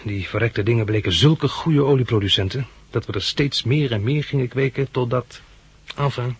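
A man's voice: medium pitch, normal speed, slightly rough, dry recording. An actor playing an eager, polite young plant enthusiast who tells his story in a 1960s Dutch radio drama. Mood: reflective narrating. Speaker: lead character and narrator.

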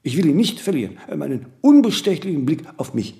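A man's voice: on the high side, very animated, fairly quick, vocal fry, dark tone. A man playing a vain, disciplined, eccentric celebrity in a radio play, talking in flowing, emphatic, self-correcting monologues peppered with little tag questions. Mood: self-assured, emphatic. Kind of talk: radio play dialogue, conversational interview answers.

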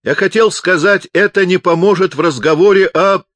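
A man playing an embarrassed young college student in an audiobook, halting and desperate as he protests. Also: sits low, no room sound. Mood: desperate, loud. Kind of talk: audiobook character voice.

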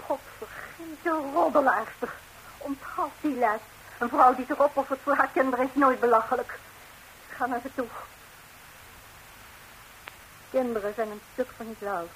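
An actress playing a proud, sharp-tongued middle-aged lady of the house, mock-polite, in a 1960s radio comedy. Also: high-pitched, normal speed, low-quality recording. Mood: bitter, emotional. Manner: tart, mock-polite, pointed. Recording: hissy old 1960s radio recording.

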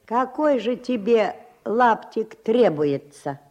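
Very slow-spoken old village woman. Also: on the high side, lively intonation, darkish tone. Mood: matter-of-fact, folksy. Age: older. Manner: unhurried, folksy rural speech. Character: small, wizened old village woman with a basket. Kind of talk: Soviet radio drama acting. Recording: dull, lo-fi 1970s radio recording.

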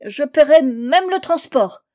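Storybook drunkard in trouble, played by a woman: whining, stammering, pleading, raised high and loud. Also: expressive, normal speed. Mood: eager, desperate. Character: drunkard stuck down a well, desperate, whining, pleading.

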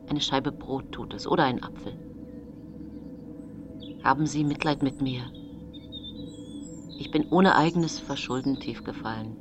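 An actress playing an impoverished, bitter widow turned vengeful poisoner in a radio play, with an intense, confessional delivery. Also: female voice, deep voice. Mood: pleading. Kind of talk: dramatic radio play monologue.

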